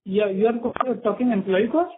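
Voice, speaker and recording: A man's voice: high-pitched, lively intonation, moderate pace, noisy background. A man with an Indian accent, a finance executive explaining company costs to an analyst on a conference call. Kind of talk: answering analyst questions on an earnings conference call. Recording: tinny phone conference line.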